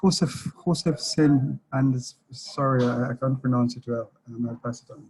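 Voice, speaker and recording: A man managing project production introduces himself on a video call. His voice stays flat and even, and he stumbles a little over words. The delivery is plain and unhurried. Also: medium pitch, slightly rough, rough recording. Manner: hesitant, stumbling over names.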